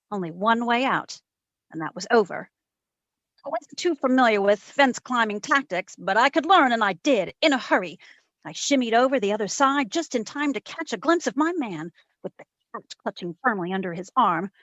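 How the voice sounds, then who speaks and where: A woman playing a sassy, quick-witted private detective, narrating her case in old-time radio drama style. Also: on the high side, a bit bright, no echo, muffled recording. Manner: wry, wisecracking.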